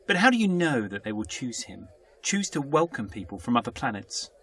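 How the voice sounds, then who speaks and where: A man's voice: mid-range voice, big pitch swings, fairly quick, fairly bright tone, slight background noise. A man playing an inquisitive travelling companion in an audio drama, with a questioning manner. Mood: doubtful. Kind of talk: fan audio drama acting.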